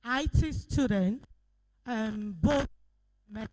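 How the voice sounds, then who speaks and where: A woman giving a halting presentation at an event, with many pauses and restarts. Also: a little high, a little mellow, rough recording. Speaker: company representative presenting.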